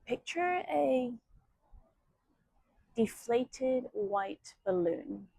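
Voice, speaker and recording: A woman teaching yoga, guiding meditation and breathing in a soothing, measured way with long pauses between short phrases. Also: on the high side, lively intonation, vocal fry, warm tone, husky, no room sound. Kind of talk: guided meditation and breathing instruction.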